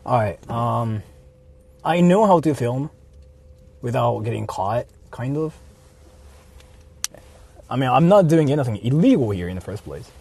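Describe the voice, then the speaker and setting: A man's voice: medium pitch, very animated, unhurried, vocal fry, pauses often, a little mellow, slightly rough. A man chatting casually on a livestream from inside a car, rambling, repeating his phrases and swearing freely. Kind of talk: casual IRL livestream chat while driving. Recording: noisy car interior. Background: vehicle noise.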